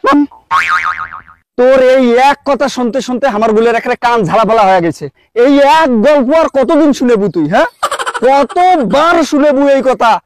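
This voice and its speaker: A man voicing a gruff village man who angrily scolds and insults someone. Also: extremely high pitch, lively intonation, a little slow, background noise. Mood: exasperated. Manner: rough, insulting, rants loudly.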